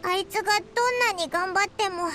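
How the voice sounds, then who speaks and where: A woman's voice: very high-pitched, a little fast, pushed and bright. A woman voicing a tiny, earnest, childlike fairy companion in a Japanese anime game, with blunt, tomboyish speech. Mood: puzzled. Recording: music behind the voice.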